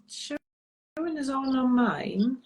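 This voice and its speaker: A woman's voice: sits high, animated, slow, darkish tone. A woman with a Welsh accent, a guest chatting casually on a video call, talking freely with many ums and frequent laughs. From Wales. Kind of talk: casual chat.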